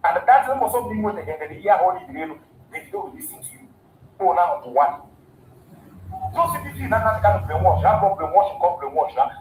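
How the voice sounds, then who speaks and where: High-voiced Nigerian man, impassioned speech. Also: quick pace. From southeastern Nigeria. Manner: animated, repetitive, switches between languages. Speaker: youth leader speaking in a clip. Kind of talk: impassioned speech mixing Igbo and English. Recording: echoey room with faint background noise.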